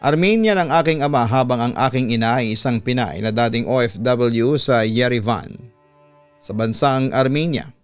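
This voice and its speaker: A man narrates a listener's letter in a theatrical radio voice, his pitch leaping up for effect. His voice sits in the middle range and sounds dry and close to the mic. Soft music plays quietly behind him. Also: normal speed, clean tone, no echo. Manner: theatrical storytelling with sudden pitch lifts. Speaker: radio announcer and host. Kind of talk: dramatic reading of a listener's horror letter. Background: faint background music.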